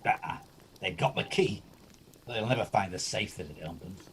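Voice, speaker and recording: A man's voice: fairly low pitch, normal speed, gravelly. A man playing an irascible, fearful older miser in an amateur radio play, blustering and indignant. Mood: grumbling, defiant. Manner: indignant, blustering, stammers when agitated. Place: at home, recorded over video call. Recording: faint noise, home video-call recording.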